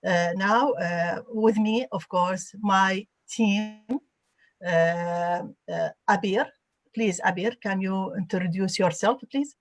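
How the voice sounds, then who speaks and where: A woman from Iraq hosts a roundtable over a video call, politely introducing each guest. Her speech is halting and full of fillers. The sound is dry, with no room echo, and her pitch sits in the middle. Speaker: host and adult education instructor.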